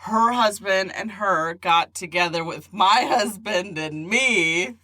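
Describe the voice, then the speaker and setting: A young woman co-hosting a casual podcast, playful and quick to laugh, breaking into funny voices. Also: medium pitch, pushed and bright, no echo. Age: young adult. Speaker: podcast co-host. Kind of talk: casual chat.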